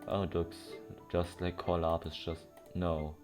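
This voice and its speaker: A man's voice: sits low, flat delivery, measured pace. A man giving casual, unscripted playthrough commentary as a game streamer, sometimes reading dialogue aloud. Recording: clear game music behind voice. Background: game music.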